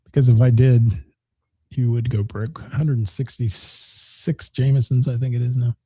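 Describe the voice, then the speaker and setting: A man's voice: mid-range voice, steady tone, a little slow, dark tone, slightly rough, no echo. A man co-hosting a radio talk show, chatting casually and reading listener comments, joking and rambling while losing his voice.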